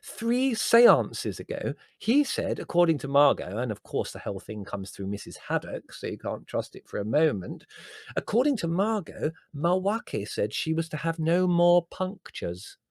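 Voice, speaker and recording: A man's voice: fairly high pitch, sing-song, normal speed, a little mellow, no echo, clean studio sound, pitched above the performer's own voice. A man voicing a coy, fussy, earnest woman cousin in a storybook, emphatic and confiding. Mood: confiding, ominous. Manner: gushing, confiding, emphatic, easily exasperated.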